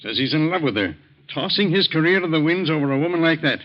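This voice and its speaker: A man's voice: on the high side, expressive, fast talker, natural voice. An actor playing a proud, scolding middle-aged surgeon father in a 1940s American radio drama, imperious and clipped. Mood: indignant, resolute. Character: proud, stern, domineering wealthy surgeon father. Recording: dull old radio recording.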